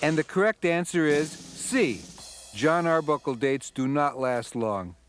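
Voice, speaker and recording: Sardonic cartoon cat quiz host, lazy and deadpan, pitched a bit high, music underneath. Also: male voice, a little slow. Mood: wry, deadpan. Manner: deadpan, wry, put-upon. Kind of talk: cartoon voice acting.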